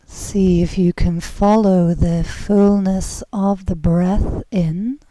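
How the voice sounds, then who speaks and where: A woman leading a guided relaxation as an instructor, gentle and soothing, with soft, breathy phrase endings and long pauses. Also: mid-range voice, fairly even intonation, a little hiss or hum, rough recording.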